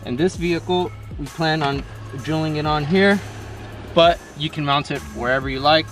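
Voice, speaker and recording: Male how-to presenter, slightly high voice, informal and instructional, clear music bed behind him. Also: moderate pace, fairly bright tone. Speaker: product installation presenter. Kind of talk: casual step-by-step how-to tutorial.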